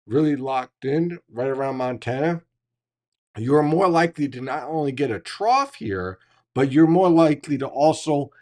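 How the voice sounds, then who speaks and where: A man who hosts a live weather chat, teaching how storms build and answering viewer questions, his voice going hoarse. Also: fairly high pitch, darkish tone. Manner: explanatory, teacherly, asks "right?" often. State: losing his voice. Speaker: meteorologist hosting a live chat. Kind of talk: live-stream weather explanation and Q&A.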